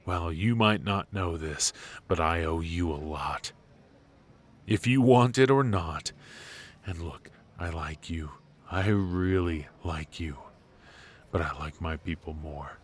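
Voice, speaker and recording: A man playing a suave, ruthless mafia boss in a noir audio roleplay, menacing and teasing, talking smoothly in short, deliberate phrases. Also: low-pitched. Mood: menacing, restrained.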